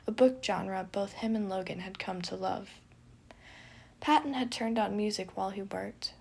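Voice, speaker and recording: Husky, creaky-voiced woman narrator. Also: medium pitch, a little mellow. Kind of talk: expressive scripted story narration.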